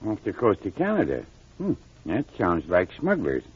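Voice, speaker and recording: A man's voice: low-pitched, huge pitch swings, normal speed, slightly rough, dry recording, exaggerated voice. An actor playing a folksy, easily amazed country man in a 1940s-style radio drama. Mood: hearty. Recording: dull, muffled old-time radio recording.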